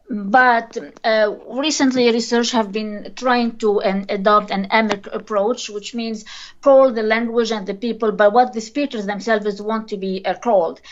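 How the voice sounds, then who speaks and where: A woman, a linguist speaking as a guest in a podcast interview, hesitant and halting as she searches for words. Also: medium pitch. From Tunisia. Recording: dull lo-fi recording.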